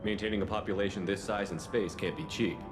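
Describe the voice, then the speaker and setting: Game lead: male actor as curt, resolute commander, low and brisk delivery, music behind. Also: fairly even intonation, close to an everyday voice. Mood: firm, curt. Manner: terse, direct, questioning. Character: terse, resolute male military space commander. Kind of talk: video game voice acting.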